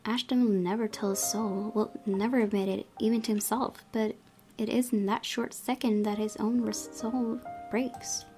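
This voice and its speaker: A woman's voice: on the high side, fairly even intonation, normal speed, dark tone, faint music behind. A young woman hosting a gaming video, reading story narration aloud and breaking into casual commentary.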